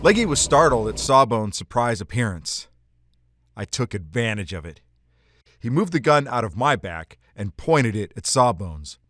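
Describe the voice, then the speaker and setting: A man's voice: mid-range voice, big pitch swings, moderate pace, fairly bright tone, no room sound. A man playing a cocky, wry, hardboiled hero in a detective audio drama. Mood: wry, confident. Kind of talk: first-person detective drama narration.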